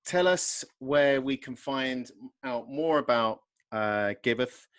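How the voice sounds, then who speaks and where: Joking English podcast host. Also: male voice, mid-range voice, takes it slowly, a bit bright, clear, resonant tone. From England. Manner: jokey, loose, trails off with ums. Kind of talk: casual interview over video call. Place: plain home room. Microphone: large podcast mic on arm.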